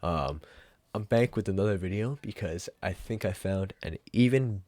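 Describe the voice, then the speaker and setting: A young man hosting a casual software tutorial in an informal, rambling way. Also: low voice, moderate pace, no echo. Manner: informal, rambling, mild swearing. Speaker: tutorial host. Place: room at home.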